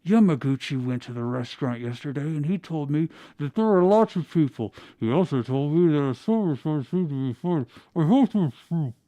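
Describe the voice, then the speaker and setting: Young male anime athlete, grieving and wistful, hushed plaintive delivery, read by a man. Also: fairly high pitch, animated, moderate pace, few pauses, lightly acted. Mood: subdued, wistful. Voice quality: hushed, sometimes whispered. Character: grieving, lovesick young male anime volleyball player at home.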